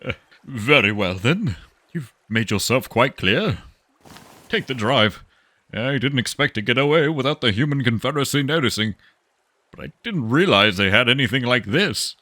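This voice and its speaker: A man's voice: medium pitch, animated, moderate pace, pushed and bright. An actor playing a nervous, self-important wealthy man on the run, stammering and bargaining formally in a sci-fi audio drama. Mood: frightened, resigned. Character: nervous self-important wealthy male fugitive pleading for his life.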